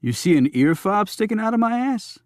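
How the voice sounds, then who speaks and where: Man voicing a wry, wisecracking government agent, lively pitch. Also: a little fast, dry recording, studio quality. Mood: wry, joking. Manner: wry, joking, colloquial. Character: cheerful, wisecracking, weary male Secret Service agent.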